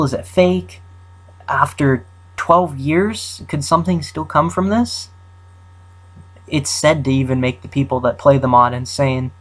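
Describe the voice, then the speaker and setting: A young man hosting his own channel, talking off the cuff in a halting, rambling way with many fillers and restarts. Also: medium pitch, low-quality recording. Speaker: channel host. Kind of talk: casual unscripted countdown commentary.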